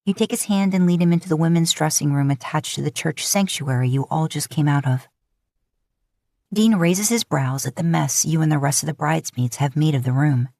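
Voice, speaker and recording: A woman's voice: sits low, fairly quick, gravelly, a little mellow, no echo, studio quality. A woman reading scripted fiction as an audiobook narrator.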